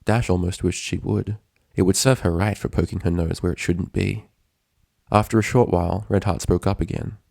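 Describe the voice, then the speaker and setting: Man, deep voice, gravelly creak, scripted storytelling, narrator. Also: fairly even intonation, normal speed, a little mellow, no room sound, clean studio sound. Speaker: narrator, fan fiction reader.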